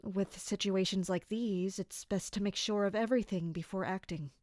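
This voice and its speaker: An actress playing a serious, duty-bound young woman officer in a fantasy audio drama, speaking in a reserved, formal, measured way. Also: mid-range voice, darkish tone, no room sound, studio quality, natural voice. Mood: formal, careful.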